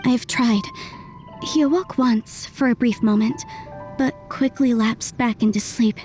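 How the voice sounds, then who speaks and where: A woman doing a calm nursing nun. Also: a little high, a little slow, close to an everyday voice. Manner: gentle, calm, plain-spoken. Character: calm adult nun who nurses the sick. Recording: game soundtrack music behind.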